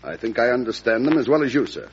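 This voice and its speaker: An actor playing an ambitious, prickly vice president in an old radio drama, courtly and formal with an insinuating manner. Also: male voice, medium pitch, animated, quick pace, natural voice. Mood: offended. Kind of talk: 1940s-50s radio drama acting. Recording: muffled, faintly noisy old radio recording.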